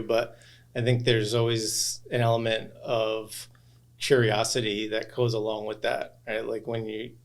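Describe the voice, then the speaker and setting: A man hosting an interview, speaking casually and hesitantly with many fillers and restarts. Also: fairly low pitch. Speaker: interview host.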